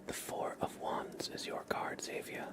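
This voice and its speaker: A man hosting an ASMR livestream in a soft whisper, gently addressing listeners directly. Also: measured pace.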